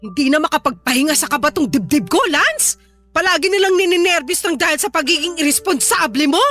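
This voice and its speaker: High-pitched, exasperated nagging Filipina mother. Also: lively intonation, brisk, fairly bright tone, faint music behind. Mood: angry, exasperated. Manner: sarcastic, scolding, accusatory. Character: exasperated young working mother nagging her partner. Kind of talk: radio drama acting.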